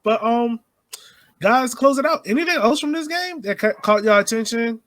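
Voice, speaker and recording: A man hosting a sports podcast, chatting casually and colloquially about soccer in an animated, joking way. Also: very high voice, moderate pace, no echo, clean studio sound. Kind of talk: casual soccer game discussion.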